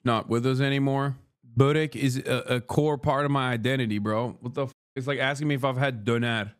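A man in his thirties, from Turkey, riffs loosely and profanely with his live chat between bites. His voice sits at an ordinary middle pitch. He is close on a studio mic in a small wood-paneled room. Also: steady tone, brisk. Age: 30s. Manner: brash, profane, joking, talks with food in mouth. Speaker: streamer. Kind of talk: casual livestream chat while eating. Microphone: studio mic on boom arm close to face.

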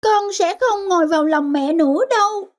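A woman's voice: very high voice, moderate pace. A woman voicing a polite, shy little boy in a cartoon family drama. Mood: hesitant, sad. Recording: music behind.

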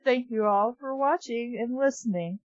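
A woman's voice: fairly high pitch, normal speed, no room sound, muffled recording. A woman bantering casually and reading scripts aloud with a group on a voice call. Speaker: member of a voice-acting group doing readings.

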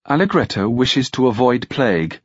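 Man voicing a haughty, mocking princess, pitched up. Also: very animated, moderate pace, husky, dry recording, muffled recording. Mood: cool, challenging. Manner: imperious, archaic courtly speech. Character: haughty, cool, mocking noble princess, a woman.

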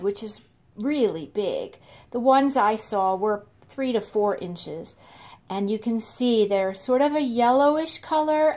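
Slow-paced woman hosting children's storytime. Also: fairly high pitch. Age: middle-aged or older. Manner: expressive, drawn-out emphasis, addresses children directly. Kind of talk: storytime narration for young children.

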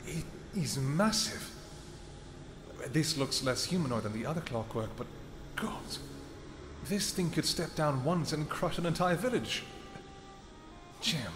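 A man's voice: a little high, lively intonation, over music. A man playing a doting vampire king. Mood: amused. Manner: soft-spoken and affectionate, with hesitant pauses. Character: protective royal vampire husband, doting and tender. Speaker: audio roleplay performer. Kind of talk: scripted audio roleplay to a listener.

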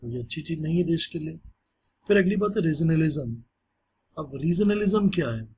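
A male teacher explaining a social studies lesson in a relaxed, conversational way, mixing in English phrases. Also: fairly high pitch, moderate pace, slight background noise.

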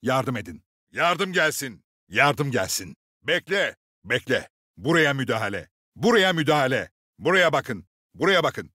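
Game role: gruff male squad leader, seasoned and commanding, very animated delivery, close dry recording. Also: mid-range voice, a little slow, dry recording, full character voice. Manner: authoritative, clipped commands with dry swagger. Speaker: game character voice actor. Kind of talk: video game voice lines, short military barks.

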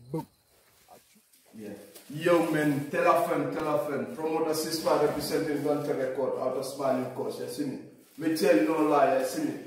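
A man's voice: medium pitch, darkish tone. A man hosting a casual promotional vlog, talking in slangy phrases and repeated catchphrases. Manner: repetitive, slangy Patois phrasing. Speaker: music promoter and vlog host. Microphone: phone camera a few metres away. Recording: noisy, distant phone video audio.

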